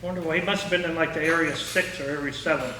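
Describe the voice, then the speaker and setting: A middle-aged or older American man with a Midwestern accent, reminiscing informally to a local audience in a rambling, halting way. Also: on the high side, fairly even intonation. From small-town Indiana, United States. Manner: rambling, halting, jumps between thoughts. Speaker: retired air traffic controller giving a talk. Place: echoey meeting room at a historical society. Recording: roomy, distant mic with faint noise.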